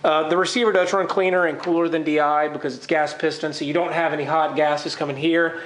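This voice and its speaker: Higher-voiced man with a cold, echoey room. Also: fairly even intonation, brisk, a bit bright. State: congested with a cold. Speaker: gun reviewer. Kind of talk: informal product review to camera. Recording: echoey, roomy sound.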